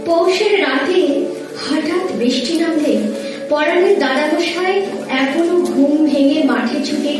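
A woman giving a slow, dramatic poetry recitation. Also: high voice. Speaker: recitation performer. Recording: very echoey, distant, music behind. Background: music.

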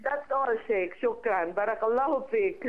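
Woman from the philippines on a phone line asking a question, polite and a bit halting, sounds tinny. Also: on the high side. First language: Filipino language. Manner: polite, halting, sprinkles Arabic phrases. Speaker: caller.

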